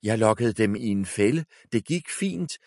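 A man's voice: lowish voice, lively intonation, a little mellow, no echo, studio quality. A man voicing a sly, scornful servant woman who fawns on her master. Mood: anxious. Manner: fawning, then whispering scorn.

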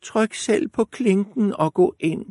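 A man voicing a frail old grandmother in a storybook reading, in a high, thin, feeble voice. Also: a little mellow, no echo, studio quality.

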